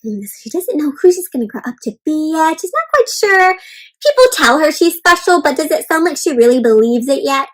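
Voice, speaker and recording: High-pitched woman reading to young children. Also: brisk. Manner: addresses young listeners with questions and commentary; expressive, encouraging teacher-like delivery. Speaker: children's read-aloud host. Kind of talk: interactive storybook read-aloud for young children.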